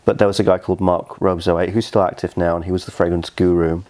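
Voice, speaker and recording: Young male interview guest, English accent, deep voice, casual conversational delivery. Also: flat delivery, quick pace, darkish tone. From southern Scotland. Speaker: interview guest, fragrance reviewer.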